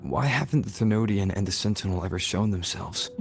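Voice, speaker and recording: A man's voice: low-pitched, brisk, creaky, a little hiss or hum. An actor playing a reserved, wary young male traveller in a video game, speaking in a terse, restrained way. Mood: guarded, curious.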